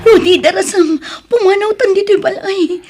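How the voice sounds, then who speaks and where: An actress playing an emotional, pleading young daughter in love in a radio drama, with an Ilocano accent. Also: female voice, very high voice, moderate pace, music in the background. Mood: urgent, distressed. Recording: noisy background ambience.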